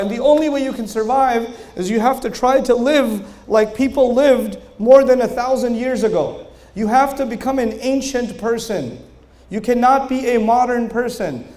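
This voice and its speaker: A man with a fairly high voice gives a religious talk to a crowd, speaking in a storytelling way that rises with emphasis on key points. His voice echoes around a large hall. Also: a little mellow. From Pakistani family, raised in Germany, Saudi Arabia and New York. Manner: conversational storytelling, rising emphasis, rhetorical questions. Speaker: Islamic lecturer. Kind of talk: religious lecture to an audience.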